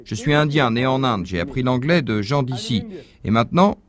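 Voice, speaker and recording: A man giving a measured, didactic religious lecture as a voice-over, posing rhetorical questions to the audience. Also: mid-range voice, animated. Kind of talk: dubbed lecture, explaining a point.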